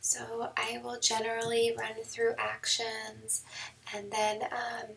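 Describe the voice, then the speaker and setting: A woman photographer giving a relaxed editing tutorial, hoarse and half whispering because her voice is going, with many ums. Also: on the high side, slow pace, recorded from a distance, rough recording. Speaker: newborn photographer. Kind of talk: screen-recorded editing tutorial. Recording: mic set back, faint background noise.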